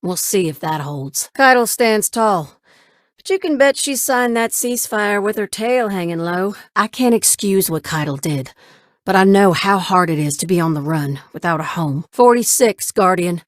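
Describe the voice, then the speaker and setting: A woman's voice: medium pitch, expressive, normal speed, no echo, clean studio sound, lightly acted. An actress voicing a spirited young woman ship pilot and mechanic in a video game, with casual, familiar, folksy banter. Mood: resolute, frank. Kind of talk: video game character dialogue.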